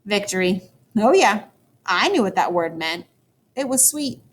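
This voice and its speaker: A woman teacher reading a children's story aloud, with expressive, dramatic emphasis for young listeners. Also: mid-range voice, measured pace. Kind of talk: animated children's book read-aloud.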